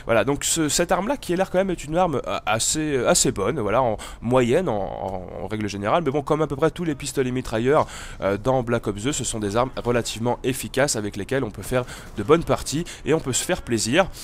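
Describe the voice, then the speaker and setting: A man's voice: mid-range voice, animated, fairly quick. Man with a cold, noisy gaming commentary. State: has a cold. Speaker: gaming video host. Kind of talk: casual gameplay commentary.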